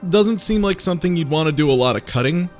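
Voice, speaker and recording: A man in a casual group discussion, talking in a wry, sarcastic, relaxed way. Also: fairly high pitch, fast talker, faint music behind. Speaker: podcast guest.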